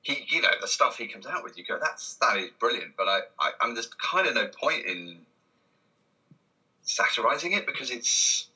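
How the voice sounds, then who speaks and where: A man's voice: medium pitch, big pitch swings, moderate pace, bright, forceful tone, rough recording. A man talking casually as an interview guest, in a hesitant, rambling way, often breaking off and restarting his sentences. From Britain. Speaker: interview guest, comedy writer.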